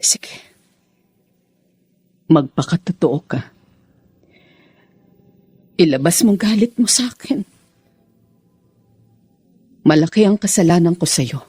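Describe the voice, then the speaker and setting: Casting: an actor playing a husky, mellow remorseful mother, slow and pleading. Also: medium pitch, expressive, no echo. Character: remorseful mother begging her son's forgiveness. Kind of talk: radio drama acting.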